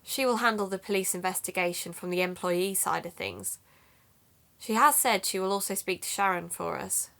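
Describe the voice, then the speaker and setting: Woman playing a female amateur sleuth, mid-pitched, gravelly fry, conversational, matter-of-fact, audio drama. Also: expressive, moderate pace, bright, forceful tone.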